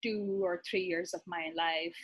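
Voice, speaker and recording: A woman's voice: on the low side, steady tone, a little slow, slightly rough. A woman in her thirties from Pakistan with a Pakistani accent, a physician talking casually in an interview, thoughtful, with many fillers and restarts. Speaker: physician, guest.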